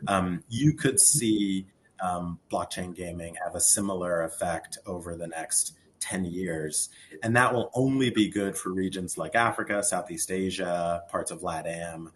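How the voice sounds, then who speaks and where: A man with a very low voice answers on a conference panel, laying out his view in a measured, explanatory way. Faint noise sits behind him.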